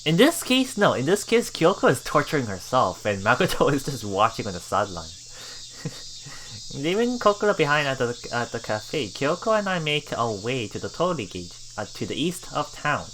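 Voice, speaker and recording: Female game streamer, extremely low pitch, lively delivery, reads story text aloud with casual playful commentary. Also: normal speed, slight background noise, rough recording. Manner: playful, expressive. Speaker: let's play streamer.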